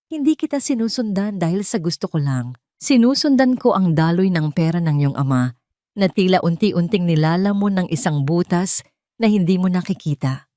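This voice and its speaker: A woman acts out an earnest male lawyer in an audio drama. The delivery is measured, formal and authoritative, in a lowish female register with a close, dry sound. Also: expressive, warm tone, dry recording, close to an everyday voice. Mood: grave, urgent. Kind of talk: Tagalog audio drama dialogue.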